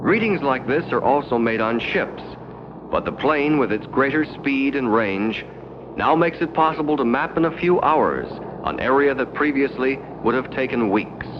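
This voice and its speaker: An American man narrating a 1960s educational film in a formal, measured, no-nonsense way. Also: mid-range voice, expressive, noisy background. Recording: dull 1960s 16mm film soundtrack.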